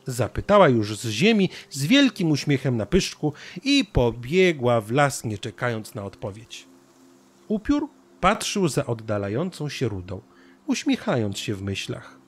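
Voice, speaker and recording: A man's voice: mid-range voice, huge pitch swings, unhurried, husky. A man narrating a scripted children's story.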